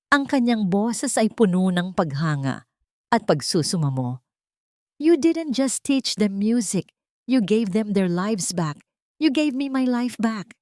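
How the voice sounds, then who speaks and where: Low-voiced woman narrating a story. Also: a little mellow, dry recording, studio quality. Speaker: audiobook narrator. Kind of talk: dramatic scripted story narration.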